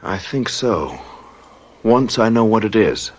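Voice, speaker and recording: A man's voice: big pitch swings, slow pace. An American actor playing a tense government man and husband in a radio drama. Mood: grave, resigned. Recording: noisy vintage radio drama, vehicle rumble.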